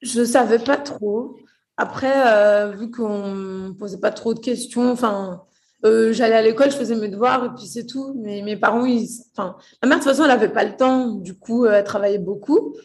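Young woman from portugal, kinda high voice, lots of euh and restarts, chatty. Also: normal speed, a little mellow. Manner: hesitant, many fillers and restarts. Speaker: guest. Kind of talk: casual interview chat.

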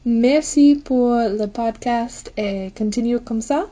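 A woman with an American accent and a mellow tone speaks very slowly and carefully as a native English speaker. She sounds like a language learner leaving an informal message, sometimes slipping into English. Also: a little high. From United States. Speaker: podcast listener sending a voice message. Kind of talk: prepared, informal audio message.

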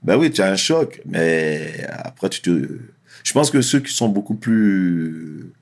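Deep gravelly husky-voiced man. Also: slow pace. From France. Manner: slangy, relaxed, candid. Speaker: interview guest. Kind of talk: casual storytelling interview.

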